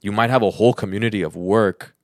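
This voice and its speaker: Male podcast co-host, very deep and brisk, explaining informally in an easy chatty style, dry close sound. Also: no room sound. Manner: informal, example-laden explaining. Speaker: podcast co-host, freelance audio engineer. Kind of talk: casual podcast chat.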